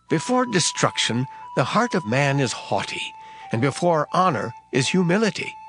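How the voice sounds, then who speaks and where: A man reading scripture aloud in a measured, solemn recitation. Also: medium pitch, fairly bright tone. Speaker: scripture reader. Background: background music.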